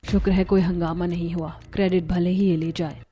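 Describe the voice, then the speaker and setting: A woman voicing a gentle, dutiful elder daughter-in-law of a village family in a cartoon story, homely and helpful. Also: fairly low pitch, flat delivery, brisk, faint music behind, natural voice. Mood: quiet, content. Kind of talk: Hindi cartoon story dialogue.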